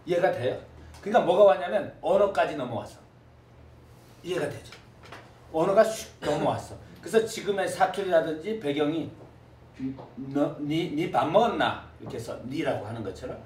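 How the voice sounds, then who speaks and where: A high-voiced man lectures with a lot of swing in his pitch, and keeps checking whether his students follow. The recording catches him from across an echoey classroom, with a faint hum of room noise underneath. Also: normal speed, recorded from a distance. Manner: lively, repeats words for students to echo. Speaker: teacher.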